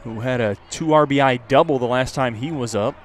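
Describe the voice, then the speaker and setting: A man's voice: mid-range voice, a little fast. A man calling live baseball play-by-play for radio in a conversational way, filling the gaps with player background. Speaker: play-by-play announcer. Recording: clear outdoor background noise. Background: outdoor ballpark ambience.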